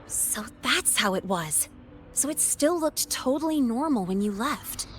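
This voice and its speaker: A woman playing a composed, thoughtful young investigator in a video game, calm and pondering. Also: fairly high pitch, unhurried, a little hiss or hum. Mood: pensive. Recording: music under the voice.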